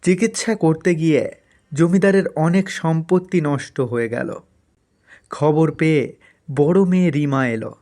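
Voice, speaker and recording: A man's voice: fairly high pitch, a little slow, warm tone. A man narrating a scripted cartoon folk story as its storyteller.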